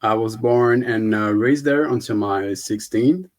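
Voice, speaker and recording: A man's voice: on the low side, unhurried. A man from Haiti, a guest in a casual interview, speaking hesitantly with many fillers and restarts. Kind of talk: casual video call interview.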